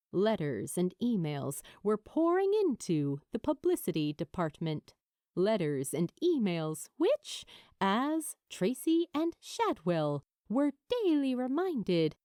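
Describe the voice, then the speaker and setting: A woman narrating fiction from a script in a measured, expressive storytelling manner. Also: mid-range voice, no echo, clean studio sound. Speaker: amateur audiobook narrator.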